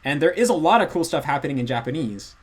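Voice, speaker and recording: A man's voice: fairly high pitch, fast, husky. Earnest man giving advice. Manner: earnest, animated, explaining at length. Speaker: guest language learner. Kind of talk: casual advice chat.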